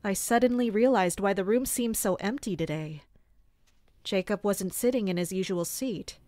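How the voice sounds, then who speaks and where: A woman acts out a female mercenary in service to a prince, narrating and speaking in an audio drama. Her voice sits at a medium pitch with a formal, measured and respectful delivery. The sound is dry and close. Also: mellow, no room sound, lightly acted. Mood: reflective, observant.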